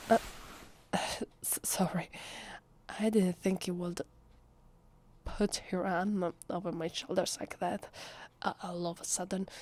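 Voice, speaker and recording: Breathy Italian-accented shy vampire woman, acted. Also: mid-range voice. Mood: shy, wistful. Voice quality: soft, breathy, half-whispered. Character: shy lonely female vampire posing as a human. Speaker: voice actress. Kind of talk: ASMR roleplay, soft intimate speech to the listener.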